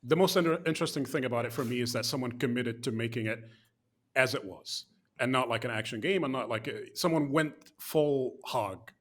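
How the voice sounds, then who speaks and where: A man's voice: medium pitch, a little fast. A man co-hosting a gaming podcast, giving opinionated, rambling takes with lots of fillers and restarts. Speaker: podcast co-host. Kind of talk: casual chat.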